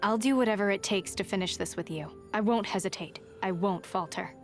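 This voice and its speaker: Casting: actress as resolute young huntress for a video game, plain-spoken and self-contained, mid-range voice. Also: female voice, medium pitch, fairly quick, faint music behind, close to an everyday voice. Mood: determined. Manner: plain-spoken, understated, determined. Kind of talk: video game character voice lines.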